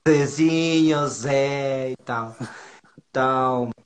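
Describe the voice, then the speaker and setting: A man with a Mexican accent and Spanish as his native language chats informally as a guest. He sounds nervous and halting, repeating words and peppering his talk with slangy tags, in a mid-range voice. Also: mid-range voice. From Monterrey, northern Mexico. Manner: hesitant, halting, with frequent repetitions and slangy tags. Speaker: guest being interviewed. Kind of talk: casual informal chat.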